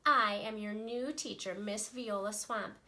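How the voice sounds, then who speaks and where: A woman's voice: medium pitch, a little slow, bright, forceful tone. A woman voicing a mean, strict witchy teacher who hisses and snaps orders. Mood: stern. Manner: hissing, snapping, stern.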